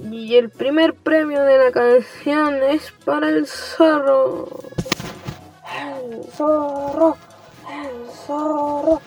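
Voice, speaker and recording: A woman's voice: high voice, darkish tone. A young woman with a Chilean accent playing a vain, deceitful male fox in an amateur radio play, whiny and boastful.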